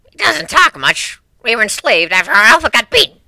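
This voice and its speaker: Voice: medium pitch, very animated, a little fast, creaky, sharp tone, dry recording, pitched above the performer's own voice, full character voice. A performer voicing a short, talkative, forthright male dog in a story. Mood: eager. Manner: forthright introduction.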